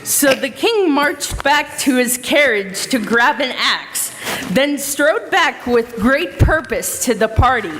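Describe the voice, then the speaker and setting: A woman narrates a story for a live play, slowly and in a slightly high voice. She is heard in a very echoey hall with faint background noise. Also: expressive, music in the background.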